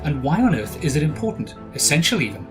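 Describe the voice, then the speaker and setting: A man's voice: a little high, sing-song, quick pace, over music. A middle-aged man from South Africa hosting a podcast, narrating from a script with dry humor. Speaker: podcast host.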